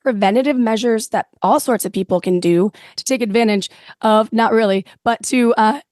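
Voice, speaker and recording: A woman co-hosting a podcast in a casual, rambling way, her voice raspy and going out from a cold. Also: fairly high pitch, normal speed, a little mellow, dry recording, clean studio sound. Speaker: podcast co-host. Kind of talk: casual conversational interviewing.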